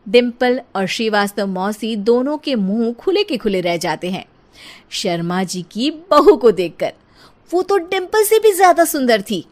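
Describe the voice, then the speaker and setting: A woman narrating a children's moral story in a lively, playful way. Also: a little high, measured pace.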